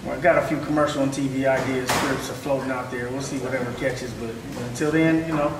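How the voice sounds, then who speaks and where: A man's voice: mid-range voice, steady tone, quick pace, very noisy. A man in his thirties on a panel, speaking earnestly and conversationally about his barbering work, with many fillers. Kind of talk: panel discussion. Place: large hall with seated audience. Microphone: far camera. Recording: echoey hall from far off.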